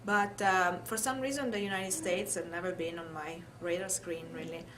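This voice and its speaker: A woman's voice: lowish voice, fairly even intonation, moderate pace. A woman from Italy with an Italian accent, thoughtfully recalling her life in an interview. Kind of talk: oral history interview, personal recollection. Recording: clear background noise, room ambience.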